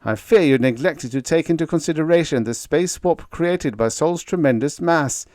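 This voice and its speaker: A man's voice: on the high side, moderate pace, no room sound, clean studio sound, voice pushed up, close to an everyday voice. Man doing a mild, polite young lieutenant. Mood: calm. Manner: gentle, polite, calm.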